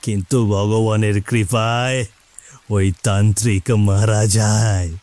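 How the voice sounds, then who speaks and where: A man voicing a vengeful ghoul, a murdered village shopkeeper, in a grave, dramatic, halting cartoon delivery. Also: on the low side, flat delivery, darkish tone, no echo. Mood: bitter, brooding. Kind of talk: Bengali animated moral-story acting. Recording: faint music behind.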